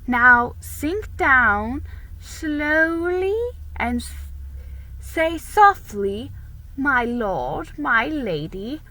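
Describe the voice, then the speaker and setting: A woman voicing a prim colonial teacher of manners in a storybook, giving precise, measured instruction. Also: high voice, pushed and bright, pitched above the performer's own voice, heavily acted, poor audio.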